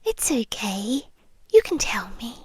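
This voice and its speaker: British woman doing a creepy sweet vampire girlfriend asmr, british, high whispery voice, coaxing, super close dry mic. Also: sing-song, darkish tone, no room sound, full character voice. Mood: soothing, menacing. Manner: slow, breathy whispering with pauses, coaxing. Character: sweetly menacing possessive yandere vampire girlfriend. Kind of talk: ASMR roleplay. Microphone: close microphone, dry.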